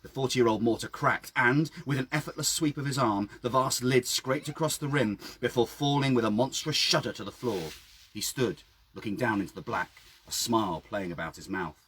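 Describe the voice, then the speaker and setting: A man reading his own story dramatically outdoors, with measured, hushed and suspenseful delivery. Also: mid-range voice, slight background noise. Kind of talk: dramatic scripted story narration. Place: outdoors by a town well.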